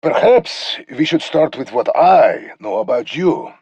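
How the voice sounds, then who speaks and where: A man playing a brooding, bitter ex-soldier in a video game, speaking deliberately with menace and a raspy edge. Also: medium pitch, sing-song, a little mellow, no echo, highs cut off, heavily acted. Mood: menacing, cold. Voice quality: gravelly, raspy. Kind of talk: scripted video game voice lines.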